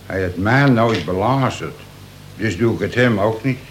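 A man's voice: lowish voice, unhurried, close to an everyday voice. An actor in a radio play, a man playing a crafty, slangy convict with a streetwise way of talking. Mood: emphatic. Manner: streetwise, colloquial. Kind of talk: Dutch radio play acting. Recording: noisy old radio drama recording.

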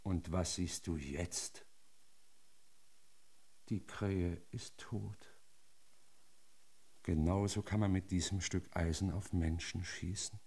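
An actor in a German radio drama playing a menacing, falsely kindly marshal who lectures sardonically, with a husky voice. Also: male voice, deep voice, fairly even intonation, takes it slowly, pauses often, darkish tone, heavily acted. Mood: mock-kind menace. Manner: mock-paternal, sardonic lecturing with sudden barked commands.

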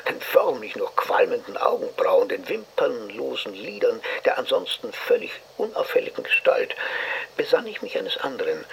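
Radio drama role: smug older male analyst, wordy and formal, swooping intonation with a gravelly edge. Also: medium pitch, fairly bright tone, a little hiss or hum, poor audio. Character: pompous older male psychoanalyst, smug and unflappable. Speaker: narrator and psychotherapist. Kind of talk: German radio drama acting, literary narration.

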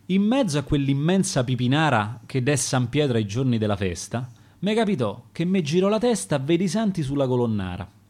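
A man's voice: a little high, darkish tone. Roman man reciting dialect sonnets. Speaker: poet reading his own sonnets. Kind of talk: radio poetry recitation. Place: radio studio.

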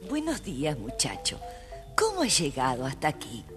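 An actress playing a very old, kindly and mysterious woman in a children's audio tale, with a husky, deliberate delivery. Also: low-pitched, huge pitch swings. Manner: slow, deliberate storytelling delivery. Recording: long hall reverb, faint music behind.

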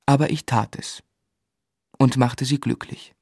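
An actor playing a grave, shaken narrator recalling a tragedy, with measured, dramatic literary delivery in a radio play. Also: male voice, medium pitch, steady tone, creaky, a little mellow, breathy edge, no room sound. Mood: grief-stricken. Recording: studio radio drama with musical underscore.

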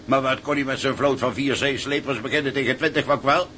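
An actor playing a coarse, grumbling deckhand in a radio play, talking in rough colloquial speech. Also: male voice, medium pitch, steady tone, brisk, sharp tone, a little hiss or hum, lightly acted. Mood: scornful.

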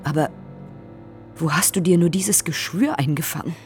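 An actress playing a bitter, vengeful, unsentimental woman in a radio drama, with a low husky voice and a cold, sardonic manner. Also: moderate pace, a little mellow, soft background music, close to an everyday voice. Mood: scornful, vengeful.

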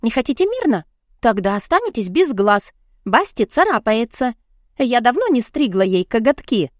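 A woman's voice: on the high side, big pitch swings, moderate pace, no echo, studio quality. Flustered, indignant young woman acted by a woman reader. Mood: protective, defiant. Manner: chattering, pleading, protesting. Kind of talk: audiobook character dialogue.

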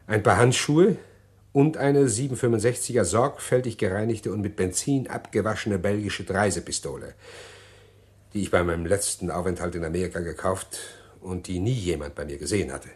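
An actor playing a middle-aged man who calmly confesses a murder plot in an old radio play, measured and hesitant. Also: deep voice, lightly acted. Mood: calm confessing. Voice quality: measured, deliberate, hesitant with pauses. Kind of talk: 1950s radio drama acting.

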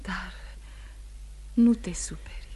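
An actress playing a wounded, anxious young wife in a radio play, breathy and often hushed, earnest and halting. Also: female voice, in a whisper, lightly acted. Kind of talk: Romanian radio theatre acting.

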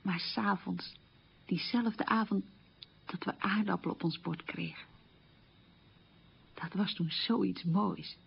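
A woman playing a resilient survivor of childhood poverty, recounting memories in a plain, unhurried monologue for radio. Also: medium pitch, gravelly, slightly rough, exaggerated voice. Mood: reflective. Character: resilient woman recalling a poor, hungry wartime childhood. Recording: dull, lo-fi radio recording.

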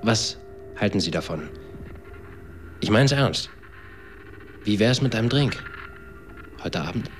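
A rueful man down on his luck in a radio drama, with a very low voice. He speaks standard German in a halting, reflective way. Music plays clearly underneath. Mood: coaxing. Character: rueful, indebted man on probation, flirtatious drifter.

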